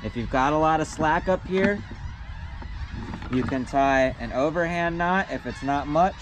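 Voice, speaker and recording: A young man, a sailing instructor, calmly walking through tying a knot step by step, recorded outdoors on a boat deck. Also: on the high side, measured pace, lo-fi sound, background noise. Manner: patient, step-by-step, plain-spoken. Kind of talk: hands-on knot-tying demonstration.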